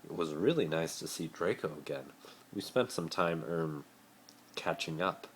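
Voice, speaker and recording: A teasing teenage boy in a story, cheeky with a sheepish streak, played by a man. The voice is low, close to the reader's own. It comes through a faintly noisy amateur phone recording. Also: expressive, a little slow. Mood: nervous.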